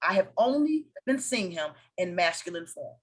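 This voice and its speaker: A woman's voice: on the low side, sing-song, moderate pace. A woman hosting a casual spiritual conversation, explaining ideas with confident, teacherly authority. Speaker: host of a spiritual discussion.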